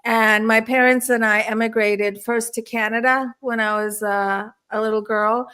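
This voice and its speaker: A woman's voice: a little high, steady tone, moderate pace, pushed and bright. A woman from Turkey who grew up in the Washington DC area, talking conversationally as an interview guest and telling personal stories. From born in Istanbul, Turkey; grew up in the Washington DC area. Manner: frequent throat clearing. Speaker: guest, spiritual counselor and experiencer.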